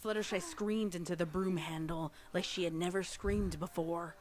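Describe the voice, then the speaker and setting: A woman's voice: fairly low pitch, fairly even intonation, normal speed, a little mellow. A woman reading scripted dramatic fiction as a story narrator. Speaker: audiobook-style story narrator. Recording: music playing under the narration. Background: music.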